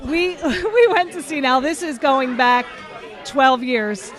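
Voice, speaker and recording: A woman's voice: high-pitched, slow, a bit bright, a faint crowd. A middle-aged woman with a cold and a nasal voice, co-hosting a podcast in chatty, joking talk. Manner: chatty, joking, rambling with restarts. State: has a cold. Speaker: podcast co-host. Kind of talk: casual chat.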